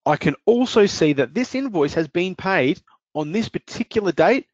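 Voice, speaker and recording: A man presenting a screen-share software demo, explaining each step in a steady way with occasional fillers. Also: high-pitched, moderate pace, no room sound, muffled recording.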